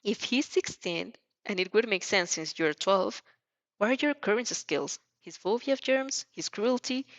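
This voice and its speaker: A woman narrating fan fiction in the second person, expressive and dramatic, now and then stumbling and rereading a line. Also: medium pitch, measured pace, no echo. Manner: expressive, stumbles over words and rereads lines. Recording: dull, muffled lo-fi sound.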